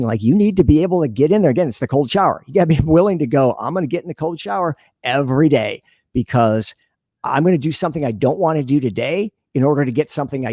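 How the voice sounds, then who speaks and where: A man's voice: medium pitch, a little mellow, no room sound, studio quality. A man speaking as a podcast guest, explaining earnestly and conversationally, often voicing imagined dialogue as he goes. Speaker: podcast guest, sales leader and business consultant. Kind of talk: conversational interview answers.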